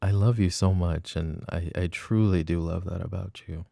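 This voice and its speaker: A jealous, insecure boyfriend, played by a man, talks in a very low voice with plenty of fry. The recording is dry and intimate, like ASMR roleplay. He pleads earnestly and haltingly, devoted beneath the doubt. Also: steady tone, no room sound. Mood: earnest, affectionate. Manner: hesitant, earnest, soft-spoken pleading. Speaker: roleplay audio voice actor.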